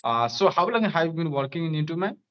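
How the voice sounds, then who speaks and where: A man's voice: fairly high pitch, very animated, quick pace, a bit bright, lo-fi sound. A young man from Nepal, a guest in a casual conversation, speaking hesitantly with many fillers.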